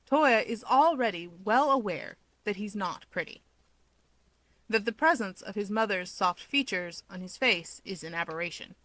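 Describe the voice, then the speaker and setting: A woman reading a scripted story aloud as an expressive narrator. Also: medium pitch, normal speed, no echo, poor audio. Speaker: fiction narrator.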